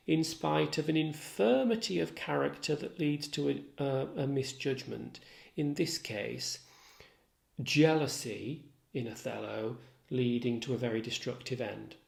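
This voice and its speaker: A man lecturing as an English literature tutor, explaining in a deliberate, formal way with precise wording and occasional asides. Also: a little high, vocal fry, darkish tone. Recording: echoey, roomy sound.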